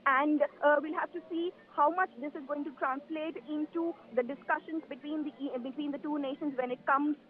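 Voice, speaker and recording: A woman with an Indian accent giving a live news report as a correspondent, halting but emphatic and wordy. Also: high voice, steady tone. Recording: thin telephone-like line.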